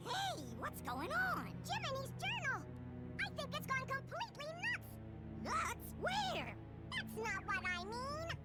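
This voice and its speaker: An actor voicing a tiny, excitable, helpful cartoon chipmunk with a squeaky, chattering voice in a game cutscene. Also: measured pace, exaggerated voice. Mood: alarmed. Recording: game audio under music. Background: game music.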